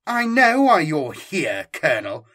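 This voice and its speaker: A man's voice: a little high, animated, measured pace, a bit bright, voice pushed up. Picture a stern, imperious woman inquisitor in a grim sci-fi audiobook, as a male narrator puts her on. She is curt and cold, and she snaps out her orders and explanations. Her voice rises above the narration and carries more force. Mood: commanding. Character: cold, commanding female inquisitor with augmetic eye. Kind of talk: audiobook character voice.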